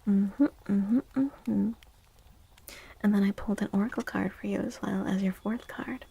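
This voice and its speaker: A woman softly speaking and whispering in a gentle, hushed ASMR style, as a livestream host giving a tarot reading. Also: mid-range voice, steady tone, gravelly, husky. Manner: gentle, hushed, unhurried, soothing repetition.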